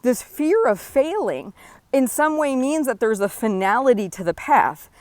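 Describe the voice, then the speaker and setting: A woman in her forties hosting a podcast, talking earnestly and encouragingly straight to the listener, often checking in with a quick "right?". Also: high-pitched, sing-song, dry recording. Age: 40s. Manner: earnest, encouraging, direct address with frequent "right?". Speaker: podcast host. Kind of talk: motivational solo talk.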